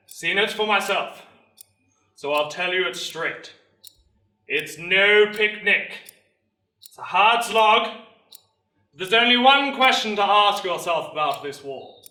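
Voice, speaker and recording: A man playing a rousing army sergeant major recruiting in a town square, with loud, declamatory oratory in a theatrical monologue. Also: high-pitched, exaggerated voice. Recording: roomy, distant microphone.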